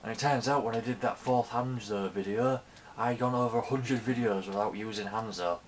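A man's voice: on the low side, normal speed, a little hiss or hum. A man giving casual, self-deprecating commentary over his own gameplay, swearing and muttering to himself. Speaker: gaming channel host.

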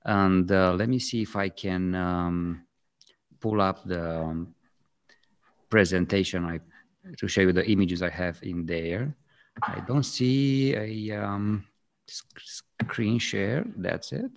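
A man in his thirties with a strong Romanian accent, co-hosting a casual video call chat, talking hesitantly with many pauses. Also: deep voice, steady tone. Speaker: co-host, photographer. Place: home studio with framed art on walls. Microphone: studio mic on boom arm.

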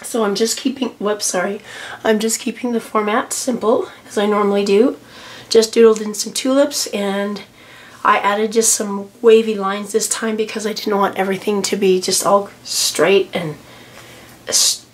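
A middle-aged woman with a hoarse voice from a cold, chatting casually as she walks through her journal pages, with lots of ums and restarts. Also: medium pitch. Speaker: journaling vlogger. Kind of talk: casual tutorial walkthrough. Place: home desk, camera over a tablet.

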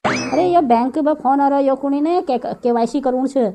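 A woman's voice: high-pitched, normal speed, dark tone, slight background noise. A woman voicing a gullible, grumbling village mother in a comic cartoon, with a rustic manner and a Kumaoni accent. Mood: anxious, insistent. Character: gullible, exasperated village mother of a grown son.

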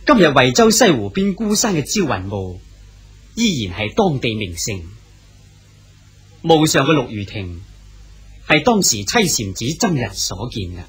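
A man's voice: mid-range voice, sing-song, unhurried, pauses often, husky, soft background music. A man with a Cantonese accent giving scripted historical narration.

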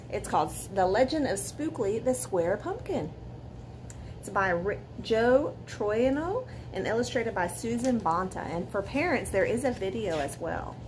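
A woman in her forties reads a children's bedtime story aloud with dramatic emphasis, pausing to put questions to young listeners. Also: medium pitch. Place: living room at home beside a fireplace. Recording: clear background noise, room ambience.

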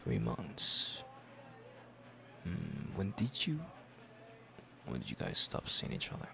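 Very deep, slow, halting young man, acted. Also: wildly swooping pitch, vocal fry, background noise, heavily acted. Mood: jealous, unsettled. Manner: halting, stammering, sighing. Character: young man, jealous, flustered childhood best friend. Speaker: roleplay audio performer. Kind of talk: intimate ASMR roleplay acting.

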